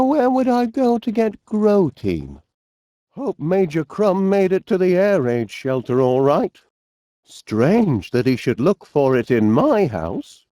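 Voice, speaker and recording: A high-voiced, middle-aged Northern English inventor from a cartoon comedy game. His delivery swings up and down with a mellow tone, and he sounds cheerful, fussy and absent-minded as he politely bumbles along. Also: dry recording, studio quality, heavily acted. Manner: bumbling, polite, musing aloud. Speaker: video game voice actor. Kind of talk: animated comedy game acting.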